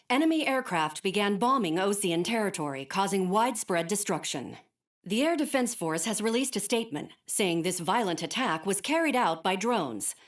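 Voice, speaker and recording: A woman's voice: medium pitch, lightly acted. A woman playing a formal, urgent news anchor, reading a bulletin in a game cutscene.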